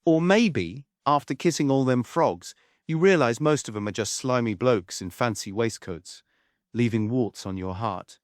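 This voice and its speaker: A man's voice: medium pitch, unhurried, no room sound, clean studio sound, close to an everyday voice. A man playing a sardonic, meddling, flamboyant showman in a comic stage play table read. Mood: wry. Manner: theatrical, wry.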